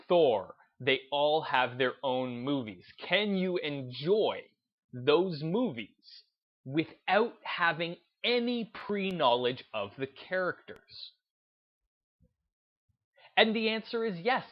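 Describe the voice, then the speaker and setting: Man giving emphatic commentary, dull lo-fi sound. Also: on the high side. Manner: emphatic, deliberate, repeats phrases for emphasis. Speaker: gaming video essayist and host. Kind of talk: opinionated commentary, unscripted talk to listeners.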